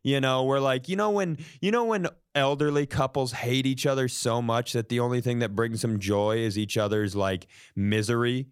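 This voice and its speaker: A man in casual comedic storytelling as a podcast co-host, animated and self-deprecating. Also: mid-range voice, moderate pace, few pauses, smooth, dry recording, studio quality. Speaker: podcast co-host telling stories.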